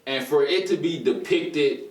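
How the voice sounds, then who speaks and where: Earnest man, slightly high voice. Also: unhurried. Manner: earnest, agreeing, profane. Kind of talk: casual chat. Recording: echoey room, distant mic.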